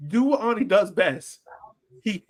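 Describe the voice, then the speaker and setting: A man's voice: sits high, animated, a little slow. A man hosting a casual sports podcast panel, talking in an informal, slangy and playful way. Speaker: podcast host.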